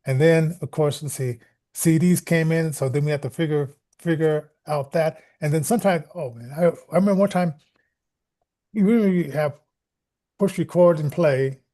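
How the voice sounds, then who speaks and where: A middle-aged American man telling anecdotes in casual chat, halting and restarting as he goes, getting animated when he quotes people. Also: a little high.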